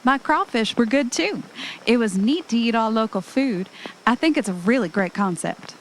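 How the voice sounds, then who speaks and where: A woman's voice: fairly high pitch, animated, moderate pace, poor audio. A woman acting an affectionate, easily unnerved young wife in an amateur audio drama, speaking casually and conversationally. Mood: relaxed. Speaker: actor in an amateur radio drama. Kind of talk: naturalistic audio drama dialogue. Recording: noticeable background noise, amateur recording.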